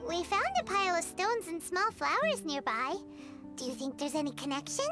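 A woman voicing a tiny, excitable, wide-eyed girl in a cartoonish game, squeaky and childlike. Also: normal speed, over music. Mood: curious. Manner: exclamatory, wide-eyed questioning.

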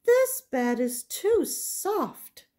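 A woman voicing Goldilocks, a small girl in a storybook, speaking slowly and at times in a whisper. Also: very high-pitched, very animated, dark tone, voice pushed up, heavily acted. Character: sweet little girl intruder Goldilocks.

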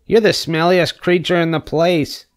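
A man's voice: fairly high pitch, fairly even intonation, unhurried, pitched above the performer's own voice, lightly acted. A man voicing a rude young lamb in a storybook reading, blunt and taunting. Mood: mocking.

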